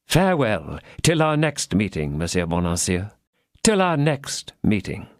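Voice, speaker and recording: A man voicing a scheming older cardinal who speaks in a measured, falsely paternal tone. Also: fairly low pitch, huge pitch swings, no echo, clean studio sound, full character voice. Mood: smooth. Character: scheming, cold-hearted older male cardinal.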